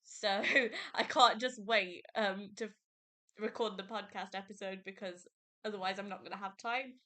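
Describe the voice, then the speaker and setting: A woman's voice: mid-range voice, steady tone, normal speed, pushed and bright. A young woman, congested from a cold, chatting casually as host of her own book podcast. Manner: chatty, rambling, with fillers and self-corrections.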